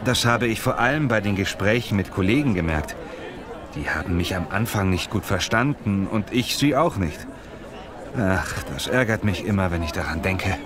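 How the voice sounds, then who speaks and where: A man's voice: deep voice, lively intonation, moderate pace, a crowd in the background. A man playing a lazy, muscle-proud Italian language learner in a scripted lesson dialogue, speaking in simple, complaining phrases. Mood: annoyed.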